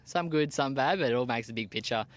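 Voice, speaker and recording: A young Australian man in the army answers questions in a mid-pitched, everyday voice. He talks plainly and casually, with slang and a lot of "uh" and "um" between thoughts. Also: fast talker. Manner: plain-spoken, casual slang with frequent fillers. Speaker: army team leader. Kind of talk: interview answers.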